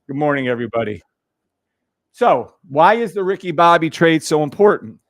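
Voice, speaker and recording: A man hosting a trading livestream in casual chat, speaking loudly and bluntly with frequent swearing and laughter into a boom mic. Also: expressive, normal speed, no room sound, clean studio sound. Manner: loud, blunt and profane, laughs often.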